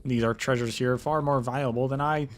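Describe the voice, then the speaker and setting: A man voicing an excitable elderly male professor who stammers eagerly over his finds. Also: mid-range voice, a little fast, pitched above the performer's own voice, close to an everyday voice. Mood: excited. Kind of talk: game story read aloud.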